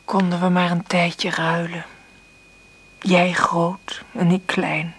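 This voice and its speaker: Radio drama casting: weary, struggling mother, intimate delivery, notably breathy and husky. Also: on the low side, completely flat delivery, takes it slowly, exaggerated voice. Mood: wistful. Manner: intimate murmured monologue, gentle with her child. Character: weary, struggling mother confiding in her diary. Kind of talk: Dutch radio play acting.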